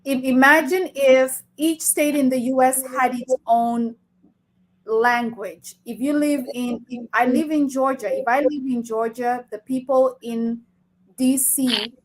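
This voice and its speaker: Middle-aged Kenyan-accented woman, fairly high voice, conversational interview guest who explains with emphasis. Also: steady tone, unhurried, slight background noise, rough recording.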